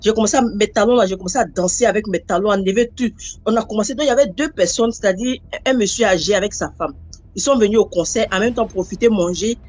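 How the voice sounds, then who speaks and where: A woman's voice: mid-range voice, quick pace, a little mellow. A woman with an Ivorian French accent telling a personal story in a rambling, casual way, repeating words as she goes. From Ivory Coast.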